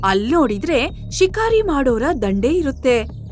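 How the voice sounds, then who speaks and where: A woman narrating an animal story in an animated storytelling style. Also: high voice, a little slow, faint music behind.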